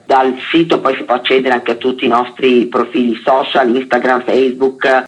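A man with a husky, mid-pitched voice. He speaks as an interview guest, giving organised promotional information in an informative style. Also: a bit bright, rough recording. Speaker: interview guest presenting a theatre festival.

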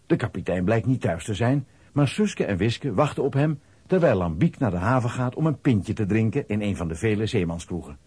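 A man with a husky voice narrating a scripted children's audio play as storyteller. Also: fairly low pitch, dark tone, dry recording.

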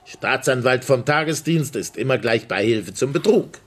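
An actor playing a sharp-tongued, mocking state prosecutor in a naturalistic German radio drama. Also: male voice, mid-range voice, animated, moderate pace, close to an everyday voice. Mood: breezy, jovial. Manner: provocative, mocking. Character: sharp-tongued, needling male state prosecutor among old friends.

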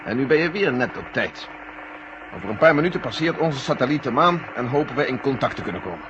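An actor playing a blunt, candid older male general in charge of a space mission in a 1970 radio drama, speaking deliberately. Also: medium pitch, lively intonation, natural voice. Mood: pleased. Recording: dull, noisy old radio recording.

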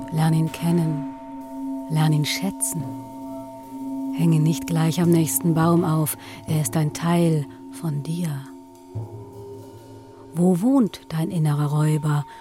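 Soothing meditation guide, slow, bits whispered, music with singing bowl behind. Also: female voice, low voice. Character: soothing female guided-meditation narrator, mock self-help.

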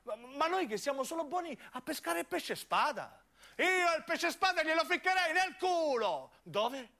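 A man's voice: very high voice, sing-song, normal speed, sharp tone. A man with a southern Italian accent performing a dramatic, impassioned theatrical monologue for radio, emphatic and repetitive. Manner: impassioned, emphatic, repeating phrases. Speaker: narrating actor.

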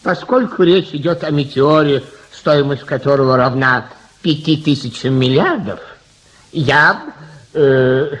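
An actor playing a flustered, courteous judge in a comic radio play, halting and pompously polite. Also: male voice, medium pitch, fairly bright tone, natural voice. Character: flustered, patient, courteous old-fashioned male judge. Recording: dull, lo-fi radio recording.

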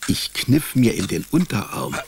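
A man's voice: mid-range voice, very animated, moderate pace, creaky, breathy edge, exaggerated voice. An actor playing a sardonic, wisecracking male private detective, narrating his case in a dry, laconic, ironic way in a radio drama. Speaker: narrator and lead character. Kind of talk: first-person hard-boiled radio drama narration. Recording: studio radio drama with music behind.

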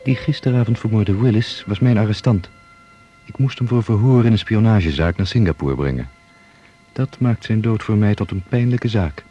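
A man's voice: on the low side, moderate pace, dark tone, slightly rough, muffled recording, faint music behind. An actor playing a stern, troubled male secret agent in a radio drama. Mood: troubled, serious.

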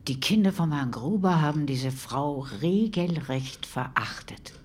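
An actress playing a troubled, gossipy neighbour, giving her account in halting, colloquial speech for a documentary-style radio play. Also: low voice, animated, darkish tone, slight background noise. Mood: troubled, disbelieving.

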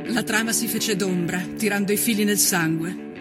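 A man narrating a scripted historical account for radio as a storyteller, in a solemn, measured, declamatory manner. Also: high voice, over loud music.

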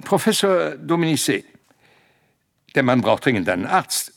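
An actor playing an older, knowing professor with an authoritative, clipped manner in a radio play. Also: male voice, fairly high pitch, sing-song, a little slow, vocal fry, heavily acted. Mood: brisk, commanding. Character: older male professor, knowing, brisk, self-assured. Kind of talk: German radio play acting.

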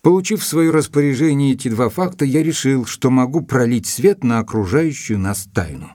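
Man playing a gentleman investigator, mellow, slightly husky, measured, polite, formal, audio drama with music behind. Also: medium pitch, animated, natural voice. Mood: reflective, measured. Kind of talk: dramatized audiobook narration and acting.

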